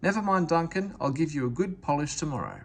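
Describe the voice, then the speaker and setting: A man voicing a brisk, bossy young girl who scolds and teases in a storybook reading. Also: fairly high pitch, sing-song, creaky, slight background noise, voice pushed up. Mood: brisk, kindly. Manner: scolding, teasing.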